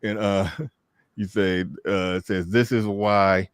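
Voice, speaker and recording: Low-voiced stammering show host. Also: male voice, level tone, takes it slowly, clear, resonant tone, no echo, studio quality. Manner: stammering restarts, casual slang. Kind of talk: live call-in debate show.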